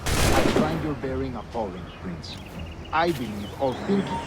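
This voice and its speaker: Formal old Spanish governor, expressive. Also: male voice, mid-range voice, very noisy. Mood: stern, reasoning. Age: older. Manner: formal, measured, dry. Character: stern, dignified old Spanish governor, principled and dry. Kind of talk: video game cutscene acting. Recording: loud music behind the voice.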